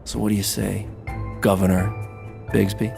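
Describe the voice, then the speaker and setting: A man playing a slick, scheming businessman in an audio drama, smooth-talking and persuasive. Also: lowish voice, flat delivery, normal speed, loud music behind the voice. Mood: coaxing.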